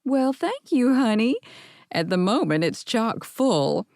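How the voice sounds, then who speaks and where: A woman's voice: a little high, sing-song, slow pace, a little mellow, dry recording, studio quality, pitched above the performer's own voice, heavily acted. A woman voicing an effusive, chatty middle-aged mother greeting a guest in an audiobook. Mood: gushing.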